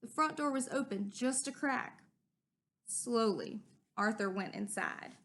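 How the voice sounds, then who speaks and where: A woman's voice: fairly high pitch, expressive, a little slow, a bit bright. A young adult woman teacher reading a children's story aloud and then giving a patient, step-by-step drawing lesson. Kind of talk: read-aloud and art lesson.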